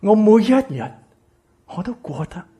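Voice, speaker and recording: A man acting a bitter, exploited grown son in a radio drama, with a Hong Kong Cantonese accent and an emotional, breathy delivery. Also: high-pitched, big pitch swings, measured pace, full character voice. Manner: emotional, stammering, swinging from shouts to whispers. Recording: music behind the voice.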